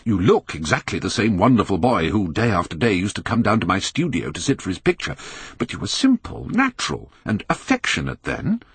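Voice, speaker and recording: A man's voice: on the low side, huge pitch swings, fairly quick, gravelly, breathy edge, dry recording, heavily acted. A man voicing an earnest painter in an audiobook, British, grave and pained as he pleads with a friend. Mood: distressed. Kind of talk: audiobook character acting.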